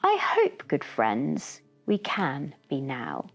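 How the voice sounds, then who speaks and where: Woman as a grand, deliberate storybook dragon. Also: deep voice, huge pitch swings, creaky, faint music behind, pitched below the performer's own voice, full character voice. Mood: friendly, gracious. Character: proud storybook dragon, male, scolding then friendly.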